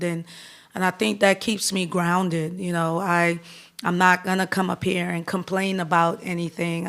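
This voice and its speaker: A woman coach in her thirties from the Bahamas, answering press questions in an upbeat, candid way with quick restarts. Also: lowish voice, a bit bright. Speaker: head basketball coach.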